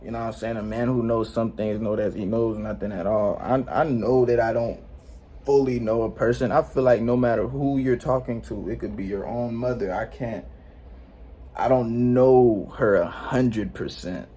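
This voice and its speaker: A man's voice: medium pitch, steady tone, normal speed, dark tone, a little hiss or hum. An eighteen-year-old man talking to camera at home in a slangy, rambling, laid-back way, often checking in with the viewers. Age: late teens. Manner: slangy, rambling, laid-back, frequent "you know what I'm saying". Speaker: vlogger. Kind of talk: unscripted casual vlog talk. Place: indoors at home.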